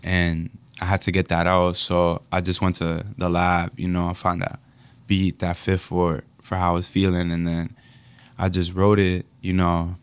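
A young man from the Dominican Republic, a rapper being interviewed, speaking reflectively and haltingly with many fillers. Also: low-pitched, completely flat delivery, smooth, no echo. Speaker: rapper guest. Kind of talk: casual interview.

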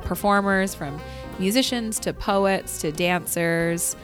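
A woman's voice: medium pitch, slow pace, over music. Upbeat woman hosting radio. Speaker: radio show host. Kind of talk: casual live interview and event announcements. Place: church, live broadcast.

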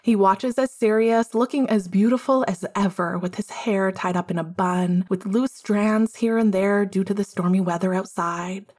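A woman reading a story aloud as its narrator, in a measured storytelling manner. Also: medium pitch, fairly even intonation, a little mellow. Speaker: fiction narrator.

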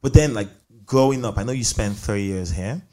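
Low-voiced man interviewing, reflective. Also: moderate pace, a little mellow. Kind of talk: conversational interview.

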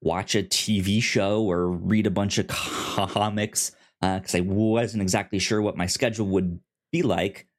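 Man chatting haltingly with a stammer. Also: lowish voice, fairly even intonation. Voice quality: stammer, repeats starts of words. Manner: halting, informal. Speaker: podcast host. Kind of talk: casual review chat.

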